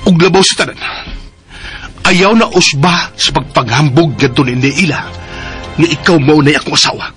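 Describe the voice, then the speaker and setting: A man's voice: on the high side, normal speed, fairly bright tone, natural voice. An actor playing a cold, irritated man in a radio drama, curt and exasperated, with a Cebuano Filipino accent. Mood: stern. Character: cold, irritated man rebuffing a clingy woman. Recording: radio drama with faint music bed.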